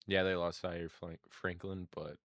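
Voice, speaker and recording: Male sports podcast co-host, low pitch, laid-back and slangy, dry recording. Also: little pitch movement, a little slow, a bit bright, no room sound. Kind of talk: casual sports chat.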